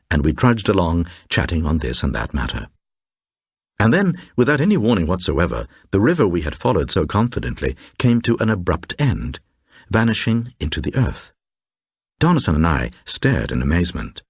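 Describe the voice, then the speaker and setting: A very deep male voice, playing a grave traveller recounting an eerie radio tale. The delivery is deliberate and suspenseful, swinging widely in pitch, with a distinctly husky grain. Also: huge pitch swings, no room sound, clean studio sound, exaggerated voice. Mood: measured, reflective. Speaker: narrator. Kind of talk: dramatised first-person reading of a supernatural horror tale for radio.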